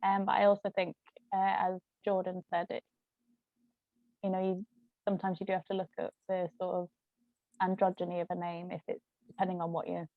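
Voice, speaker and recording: Lower-voiced woman on thin phone-like audio. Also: gravelly, no echo. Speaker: author. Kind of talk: casual chat.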